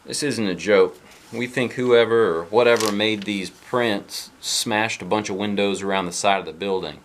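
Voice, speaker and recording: A man's voice: on the low side, moderate pace, slight background noise, natural voice. A man doing a blunt Southern US policeman. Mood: serious.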